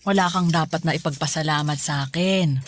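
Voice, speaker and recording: An actress playing a loving, selfless middle-aged mother in a Filipino radio drama, reassuring and unhurried. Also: low-pitched, slight background noise, lightly acted. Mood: warm, proud. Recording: music bed under the voice.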